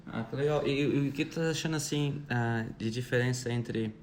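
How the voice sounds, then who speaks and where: A man's voice: medium pitch, brisk. A man hosts a podcast, asking the guest friendly, curious questions. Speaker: podcast host. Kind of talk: casual podcast chat.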